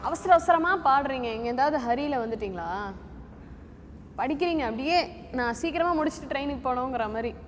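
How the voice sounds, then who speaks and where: A woman's voice: high-pitched, animated, fairly quick. A woman giving critical feedback to a singer as an audition judge. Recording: echoey hall with music.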